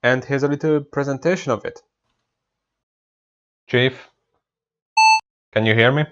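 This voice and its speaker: A man with a Hungarian accent who hosts a casual racing game demo and calls out loud, clipped commands to a voice app. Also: mid-range voice, unhurried, highs cut off. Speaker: sim racing video host.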